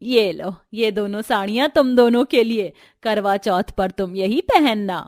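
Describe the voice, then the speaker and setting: A woman voicing a kindly middle-aged mother-in-law in a family story, with a gentle, motherly manner. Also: medium pitch, unhurried, dry recording, studio quality, lightly acted. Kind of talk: Hindi family storybook voice acting.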